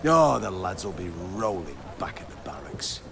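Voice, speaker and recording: An actor playing a mocking rank-and-file soldier with a sneering British delivery in a video game. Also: male voice, deep voice, very animated, moderate pace, vocal fry, background noise, exaggerated voice. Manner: sneering, sarcastic.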